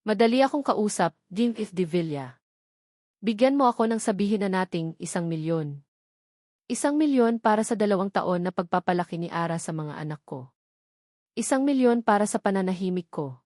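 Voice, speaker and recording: A woman's voice: medium pitch, lively intonation, normal speed, a little mellow, no echo, close to an everyday voice. Sneering, greedy male blackmailer for an audio love drama, read by a woman, demanding and cocky, dry close sound. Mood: sly, extorting. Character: arrogant, greedy male extortionist, mocking and threatening.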